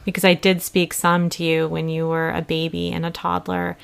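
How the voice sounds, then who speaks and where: A middle-aged woman hosting a podcast, talking candidly and gently with her daughter, apologetic and coaxing. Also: fairly low pitch, monotone, moderate pace, gravelly, clean tone. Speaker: podcast host and mother.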